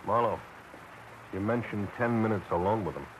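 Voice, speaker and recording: A man acting as a gruff police lieutenant, dry and sardonic. His voice sits low, in old radio crime-drama style, with a faint hiss on the broadcast. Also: moderate pace, natural voice. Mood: grudging, businesslike. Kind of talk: 1940s-50s radio detective drama acting. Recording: slightly hissy vintage radio broadcast.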